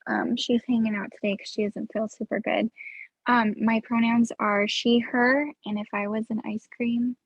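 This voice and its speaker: A woman introducing herself to an online workshop in a playful, chatty way, with a husky, gravelly voice. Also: a little high, little pitch movement, normal speed, no echo, dull audio. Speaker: workshop participant. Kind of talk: casual self-introduction.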